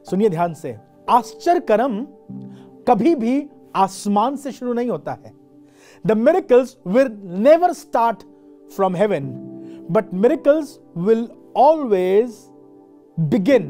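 Indian bishop in his forties, mellow and warm, dramatic sermon, soft music underneath. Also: high-pitched. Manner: dramatic, slows for emphasis, switches into English phrases. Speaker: bishop preaching. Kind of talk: sermon to camera. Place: dark studio set with a lamp.

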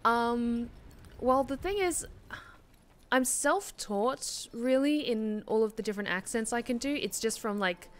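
A woman's voice: fairly high pitch, unhurried. A young Australian woman, a streamer and voice actor, chatting casually while playing a game with lots of fillers. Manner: chatty, many fillers, rambling. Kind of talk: casual chat while gaming. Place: small room indoors.

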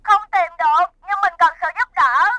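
A woman voicing a young rescue helicopter robot in a dubbed children's cartoon, brisk and urgent. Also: very high-pitched, bright, forceful tone, no echo, poor audio.

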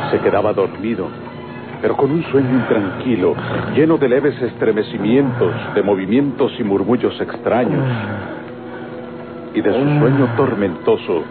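A man with a Mexican accent giving solemn, deliberately phrased narration for a dramatic radio serial. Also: lowish voice, animated. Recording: tinny old radio recording, music behind.

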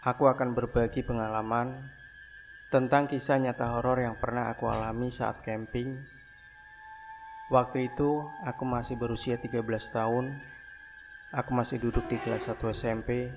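Flat-voiced male horror story narrator. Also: medium pitch, normal speed, long pauses, a little hiss or hum. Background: background music.

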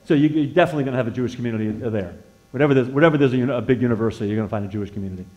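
An American man in his sixties giving an informal sermon with personal testimony as a guest preacher in a church, conversational and halting, repeating words as he feels his way and putting questions to his listeners. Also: medium pitch, a little mellow, highs cut off. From northeastern United States. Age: 60s. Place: church sanctuary, indoors, at a lectern.

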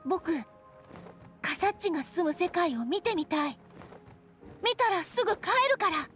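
A woman's voice: extremely high pitch, animated, unhurried, soft background music. A woman voicing a small, eager young male dragon in a video game, speaking in an earnest, childlike way. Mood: shy, hopeful. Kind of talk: Japanese game voice acting.

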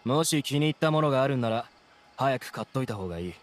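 Rough, mid-pitched small-time smuggler played by a man. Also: lively intonation, fast talker, soft background music, natural voice. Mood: salesmanlike. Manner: rough, casual. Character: small-time male smuggler, shifty and defeatist. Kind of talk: Japanese video game voice acting.

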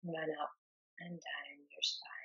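Woman, guided meditation, very slow, even intonation, gentle and soothing, long pauses. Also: deep voice, slightly rough, muffled recording.

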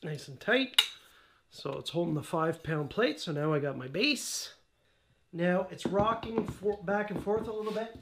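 Casual middle-aged man, a bit high-voiced, talking through a garage build; roomy echo, faint noise, tools clinking behind. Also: measured pace. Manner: chatty, offhand, with small jokes and asides. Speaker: DIY home gym channel host. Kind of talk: casual how-to vlog. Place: cluttered garage home gym, indoors. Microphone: camera-mounted mic, a few meters off.

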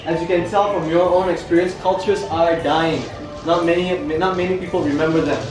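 A man's voice: a little high, fairly even intonation, brisk, over music, rough recording. A young man in his twenties from Malaysia, leading a tour group outdoors in a playful, joking way, calling the group "family". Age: 20s. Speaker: tour guide. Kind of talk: guided tour talk. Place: outdoor cultural park with food trucks. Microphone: camera a few steps away.

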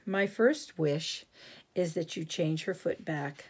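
A woman reading a storybook aloud, voicing a plain, kind young girl with a gentle, polite manner. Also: low voice, takes it slowly, breathy edge, heavily acted.

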